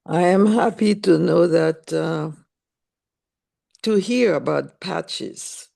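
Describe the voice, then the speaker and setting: Slow, gravelly woman from Haiti. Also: fairly low pitch, warm tone. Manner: slow, hesitant, with many fillers. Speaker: caller on a group video call. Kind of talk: casual group call chat.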